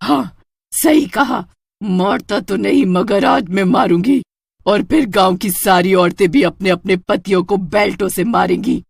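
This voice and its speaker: A woman's voice: mid-range voice, measured pace, vocal fry, a little mellow, breathy edge, no echo, clean studio sound. A woman voicing a vengeful, gloating witch with a menacing, taunting and cackling manner in a horror story. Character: vengeful gloating female witch who beats men with a belt. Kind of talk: Hindi horror cartoon story acting.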